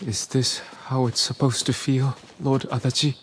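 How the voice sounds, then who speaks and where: A man's voice: mid-range voice, level tone, moderate pace, natural voice. Man acting a troubled young samurai, noisy backdrop. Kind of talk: dramatic video game cutscene acting.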